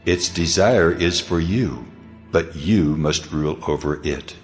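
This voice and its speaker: Very deep male deity played by an actor, stern, solemn, commanding, scripture drama, faint music underneath. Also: a little slow. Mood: stern questioning.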